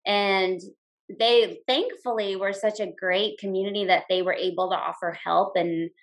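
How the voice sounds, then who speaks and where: A woman's voice: medium pitch, steady tone, normal speed. A woman talking casually as a guest in a podcast interview, chatty and full of "like" and "you know". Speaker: guest, teacher and blogger.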